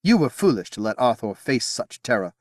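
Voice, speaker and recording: A man's voice: mid-range voice, expressive, moderate pace, a little mellow, smooth, no echo, clean studio sound, pitched above the performer's own voice, close to an everyday voice. A man voicing a proud fairy queen and worried mother, speaking in a commanding, stern way in an audiobook reading. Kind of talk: audiobook character voice.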